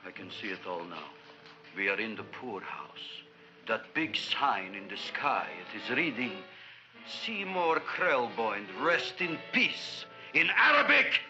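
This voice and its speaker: An actor playing an excitable, money-minded flower shop owner with a Yiddish Eastern European accent, theatrical and blustering in an old comedy film. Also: male voice, sits high, unhurried, music in the background, exaggerated voice. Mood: sarcastic, despairing. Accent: Yiddish-inflected Eastern European. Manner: theatrical, blustering, mangled syntax. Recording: dull, faintly noisy old film soundtrack.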